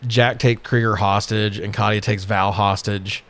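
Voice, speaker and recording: A man's voice: on the low side, flat delivery, unhurried, a bit bright. A man co-hosting a podcast, casually recapping a movie with profane, exasperated sarcasm. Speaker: podcast co-host.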